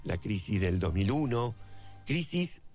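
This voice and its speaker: Argentine guy, lowish voice, thinking out loud about the country, repeats stuff to hit it home, soft music behind. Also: sing-song, unhurried. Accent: Argentine Spanish. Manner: reflective, repeats phrases for emphasis. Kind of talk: spoken essay on history. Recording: faint music underneath.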